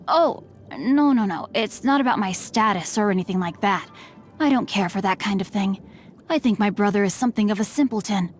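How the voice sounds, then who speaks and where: A woman's voice: medium pitch, darkish tone, lightly acted. A woman voicing a courteous, bookish teenage boy martial artist in a video game, with refined, eloquent and formal diction. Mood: candid, uneasy. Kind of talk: video game character voice lines. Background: music.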